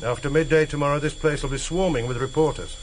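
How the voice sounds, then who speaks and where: An actor playing a formal police superintendent in a British radio drama, speaking in an official manner. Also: male voice, fairly high pitch, fast talker, natural voice. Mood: formal, warning. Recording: faintly noisy vintage radio recording.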